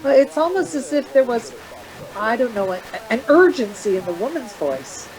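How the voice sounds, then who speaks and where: A woman's voice: a little high, very animated, normal speed, a crowd in the background, poor audio. An actress in a radio play voicing a worried, supportive woman stranded in a snowstorm. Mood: concerned. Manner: concerned, questioning. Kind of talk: naturalistic radio play acting.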